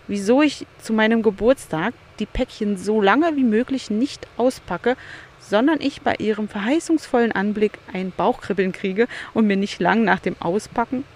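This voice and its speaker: A woman talking through her own thoughts in a solo podcast, candid and musing, often turning things into questions for herself. She records outdoors in a beach chair by the sea, in a medium-pitched, unhurried reflective voice. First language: German. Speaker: podcast host.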